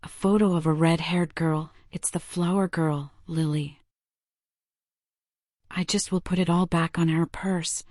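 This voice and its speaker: A woman's voice: on the low side, steady tone, measured pace, darkish tone, no room sound, clean studio sound. A woman narrating gameplay and speaking cryptic lines for her player character in a theatrical manner. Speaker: gaming creator voicing her player character.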